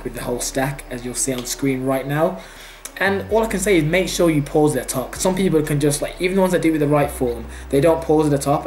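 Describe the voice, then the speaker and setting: A man's voice: mid-range voice, a little mellow, slight background noise. A young man talking loosely through a gym workout as a fitness vlogger, full of fillers, restarts and the odd swear. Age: young adult. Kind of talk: casual voice-over commentary on a workout.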